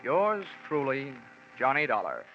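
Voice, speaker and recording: A man's voice: medium pitch, very animated, a little slow, over loud music. An actor playing a wry, wisecracking insurance investigator who narrates his case in a 1940s radio detective drama. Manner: wisecracking, sardonic. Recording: muffled vintage radio recording.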